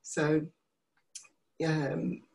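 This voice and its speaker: A woman in a group discussion, reflecting back what others say, halting with fillers. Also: low-pitched, little pitch movement, gravelly, darkish tone. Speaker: listener reflecting back in an empathy circle.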